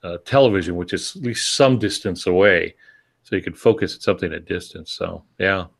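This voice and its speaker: A man's voice: low voice, a little fast, creaky. A man co-hosting a podcast, talking casually and a little wryly about the writing life. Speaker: podcast co-host and full-time writer.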